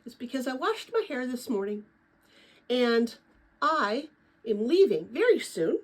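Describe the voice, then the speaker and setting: An older woman speaks in an informal, chatty read-aloud style, like a teacher with her class. Her voice sits fairly high and is noticeably husky. She moves her pitch around a great deal. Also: measured pace, poor audio. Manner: chatty, animated, rambling with personal asides. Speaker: retired teacher reading a children's book aloud.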